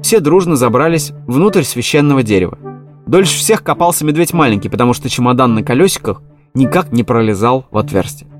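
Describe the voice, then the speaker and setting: A man narrating a children's animal story in a playful, lively way. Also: mid-range voice, a little fast, faint music behind.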